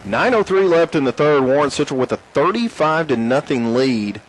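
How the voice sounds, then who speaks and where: A man calling a high school football game play by play from the stadium booth. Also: moderate pace, poor audio. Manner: rising excitement on big plays. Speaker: play-by-play announcer. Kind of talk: sports play-by-play commentary. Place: press box at an outdoor stadium.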